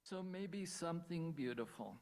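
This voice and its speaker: A man's voice: fairly high pitch, animated, moderate pace, a little mellow. A man from Pennsylvania giving a talk over a video call as a storyteller, lingering on single words, with playful asides. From Pennsylvania, USA.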